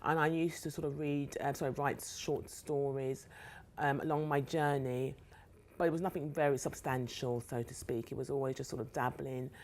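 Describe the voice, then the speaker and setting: A woman's voice: very deep voice, fairly even intonation, normal speed, a little mellow. A middle-aged woman with a London accent, chatting enthusiastically as an interview guest and repeating words for emphasis. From South London, England. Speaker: guest author. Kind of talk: casual interview chat.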